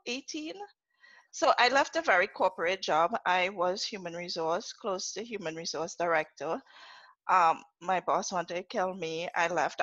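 A woman's voice: medium pitch, sharp tone, dry recording. A middle-aged Trinidadian woman tells her story as a podcast guest, her voice swooping up and down with chatty asides and easy laughter. The audio is dry and slightly muffled, with a lo-fi dullness. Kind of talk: casual podcast interview.

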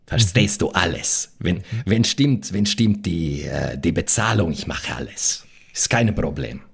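A man playing a boastful, ruthless drug courier with a Spanish accent and gravelly, husky voice, speaking halting broken German in a role-play podcast. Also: low voice, expressive, pitched below the performer's own voice, exaggerated voice. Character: swaggering Latin drug courier and ruthless gangster.

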